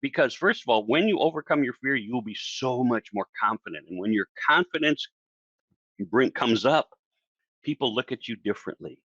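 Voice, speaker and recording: A man teaching public speaking in a casual solo video, talking in a chatty, animated way and acting out the voices of the people he quotes. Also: medium pitch, moderate pace, dry recording, dull audio. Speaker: speaking coach.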